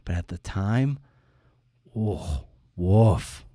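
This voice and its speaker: A man's voice: low voice, expressive, extremely slow, mellow, dry recording, clean studio sound. A man in his forties hosting a conversational interview podcast, rambling and self-deprecating in his storytelling. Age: 40s. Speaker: podcast host and writer. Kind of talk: conversational interview with personal anecdote.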